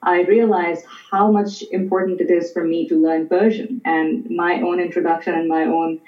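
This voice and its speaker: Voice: medium pitch, flat delivery. Guest scholar on distant audio. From Pakistan. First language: Urdu. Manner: measured, explanatory. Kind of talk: conversational interview. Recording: distant, roomy, dull remote audio.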